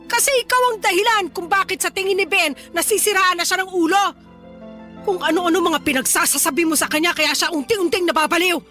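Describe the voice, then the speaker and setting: A woman's voice: very high voice, fairly quick, sharp tone, faint music behind. An actress playing a hostile, suspicious middle-aged mother, scornful and accusing, in a Tagalog radio drama. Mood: threatening. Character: hostile, suspicious, old-fashioned mother of a grown son.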